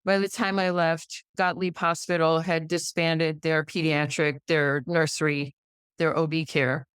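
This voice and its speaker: A middle-aged American woman with a fairly low, clean voice recorded very dry. She speaks conversationally as an interview guest. She drives her points home with emphatic repetition and pointed questions. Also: flat delivery, a little slow, clear, resonant tone, no echo. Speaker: podcast guest, pediatrician.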